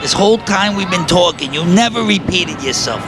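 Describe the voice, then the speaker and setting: An actor playing a sarcastic, incredulous construction worker in an audio play, wisecracking and exasperated, with a New York accent. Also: male voice, high-pitched, animated, normal speed. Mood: humbled. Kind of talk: scripted audio play dialogue. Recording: clear outdoor street and traffic noise.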